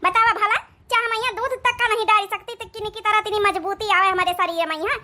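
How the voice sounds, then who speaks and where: A woman's voice: extremely high pitch, pushed and bright. A woman with an Awadhi accent voicing a grumbling, scolding old village mother-in-law in a comedy cartoon, complaining and sarcastic.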